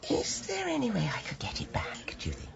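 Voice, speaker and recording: Trusting, smitten British woman, animated. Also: fairly high pitch, fairly quick, vocal fry, in a whisper, muffled recording, heavily acted. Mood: confiding. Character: trusting, smitten village woman, gently anxious. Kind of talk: British radio drama acting.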